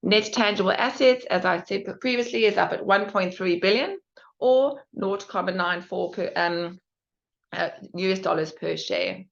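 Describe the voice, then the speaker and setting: A woman's voice: medium pitch, normal speed, gravelly. A woman presenting financial results as an executive, reading figures formally and carefully with small stumbles. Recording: dull, band-limited conference call audio.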